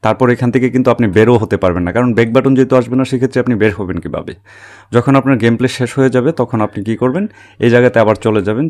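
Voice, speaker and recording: A man with a fairly low voice walks through a phone tutorial step by step. He explains each setting as he goes and often pauses to check that the listener is following along. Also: darkish tone. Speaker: tech tutorial host. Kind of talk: spoken screen-recording tutorial, step by step.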